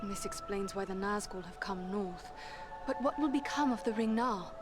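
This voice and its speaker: An actress playing a composed, noble elf warrior in a fantasy game, speaking formally and with resolve. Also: female voice, mid-range voice, normal speed, music in the background, natural voice. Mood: resolute. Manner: formal, poised. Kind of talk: dramatic fantasy game acting.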